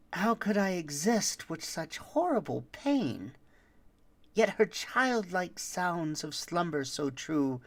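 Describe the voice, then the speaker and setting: A performer voicing a boastful, roguish thief who tells a rhyming holiday tale with dramatic, deliberate delivery. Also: mid-range voice. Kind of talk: theatrical rhymed storytelling.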